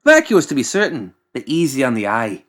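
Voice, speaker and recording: A man voicing an exasperated, excitable mad doctor in an audiobook, snappish, fussy and defensive. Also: medium pitch, brisk, voice pushed up. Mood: apologetic, chatty. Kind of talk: audiobook character voice.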